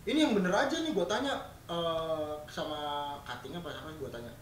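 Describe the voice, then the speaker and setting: A man's voice: fairly high pitch, very animated, fairly quick. A man in his late twenties from Sunda, co-hosting a podcast. He tells stories in a joking, casual way and swears freely. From Sunda, Indonesia. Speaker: podcast co-host. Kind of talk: casual chat, storytelling. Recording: roomy echo, far from the mic, faint background noise.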